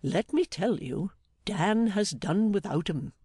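A man voicing a jolly, blustering older English gentleman in an audiobook, fatherly, emphatic and effusive. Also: high voice, expressive, takes it slowly, slightly rough, dry recording, studio quality, pitched above the performer's own voice, full character voice. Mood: hearty, excited. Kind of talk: dramatised audiobook character.

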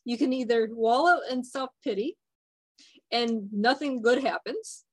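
A woman's voice: fairly high pitch, measured pace, no room sound. A woman hosting a radio interview, asking questions and affirming the guest's points. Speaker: radio show host.

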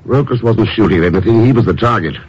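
An actor playing a cool, probing secret agent with a dry, clipped manner, in 1950s radio drama. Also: male voice, fairly low pitch, fast, husky. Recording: muffled old radio recording, faint hiss.

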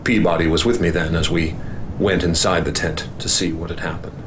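A middle-aged actor narrating in the first person as a professor leading an expedition, in a measured, grave manner. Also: male voice, low-pitched, little pitch movement, background noise, natural voice.

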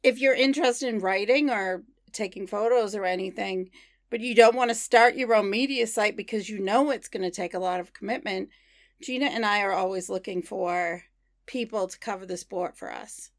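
A woman's voice: medium pitch, normal speed, no room sound. Woman co-host, dry close sound. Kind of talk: casual interview chat.

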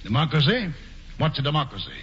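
An actor playing an aging, pompous male governor in a 1940s radio musical, singing slowly and then barking orders. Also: fairly high pitch, sing-song, husky, heavily acted. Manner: slow crooning singing, then blustering commands. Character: aging pompous male colonial governor courting a young maiden. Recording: dull vintage radio broadcast with loud orchestra.

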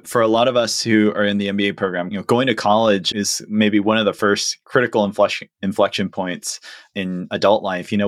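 A man's voice: lowish voice, fairly bright tone, dry recording, studio quality. A man hosting a podcast, introducing his guest and asking questions in a friendly, easygoing way. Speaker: podcast host. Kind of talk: interview hosting.

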